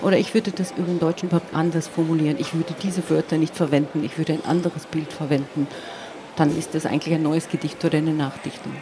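A woman's voice: low-pitched, steady tone. Woman speaking thoughtfully over noise. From Carinthia, southern Austria. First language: Slovenian. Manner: halting, searching, frequent tag "ja". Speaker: poet, interview guest. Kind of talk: reflective, explanatory interview conversation. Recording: noisy, with clear ambient background noise.

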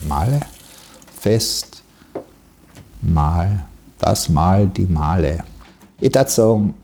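Deep-voiced, chatty Austrian ship's cook played by a man. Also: darkish tone, a little hiss or hum. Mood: rueful. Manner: colloquial, rambling. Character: chatty, worried, resigned male ship's cook. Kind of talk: conversational radio play monologue.

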